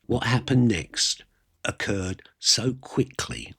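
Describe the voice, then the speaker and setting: A man narrating a first-person story aloud, reading literary prose with dramatic pauses and a husky voice. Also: mid-range voice, fairly bright tone, no room sound, studio quality.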